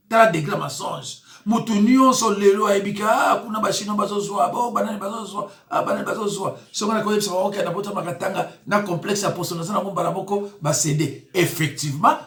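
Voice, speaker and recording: A man's voice: high voice, a bit bright, reverberant. Emphatic man preaching commentary. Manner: emphatic, indignant, with rising exclamations. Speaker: pastor. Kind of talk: commentary on news clips.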